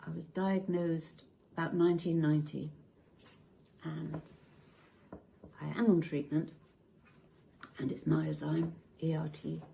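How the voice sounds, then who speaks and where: A woman's voice: deep voice, level tone, slow pace, gravelly, long pauses, warm tone, rough recording. A woman, giving a personal account as a patient. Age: 60s. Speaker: patient sharing experience. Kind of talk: personal testimony. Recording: faint background noise.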